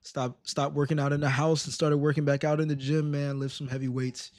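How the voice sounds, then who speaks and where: A man hosting a casual reaction livestream, slangy and emphatic with rhetorical questions, in a slightly raspy voice. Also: a little high, level tone, fairly quick, darkish tone, no echo. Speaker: live stream host reacting to videos.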